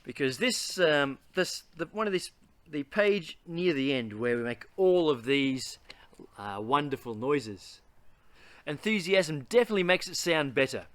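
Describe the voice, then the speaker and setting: A man with a high, bright voice talks as a storytelling host. His delivery is theatrical and full of enthusiasm, with pitch that moves around freely. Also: animated, a little slow, no echo. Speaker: host reading a children's book aloud and giving reading tips. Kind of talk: animated read-aloud and instructional talk.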